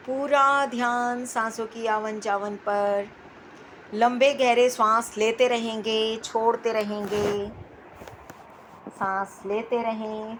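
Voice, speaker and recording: Slow, higher-pitched woman guiding meditation. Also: a bit bright, a little hiss or hum, rough recording. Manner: soothing, repetitive, unhurried phrasing with pauses. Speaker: guided meditation instructor. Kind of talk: guided meditation, slow spoken instructions.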